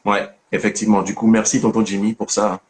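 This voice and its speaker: Man, low-pitched, playful teasing host, casual questions. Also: flat delivery, a little fast. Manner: teasing, joking. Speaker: host of a storytelling channel. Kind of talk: casual online interview.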